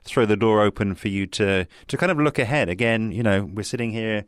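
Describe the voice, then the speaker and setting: Low-voiced man hosting a podcast interview. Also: brisk, clear, resonant tone, no room sound, studio quality. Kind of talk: casual interview questioning.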